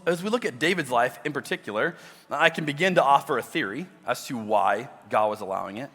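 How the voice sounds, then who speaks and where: Male pastor, middle-aged, loud and expressive, bright tenor, soft music under a reverberant hall. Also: fairly high pitch. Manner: expressive preacher, swings between loud emphasis and quiet intimate asides. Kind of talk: sermon. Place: large church auditorium.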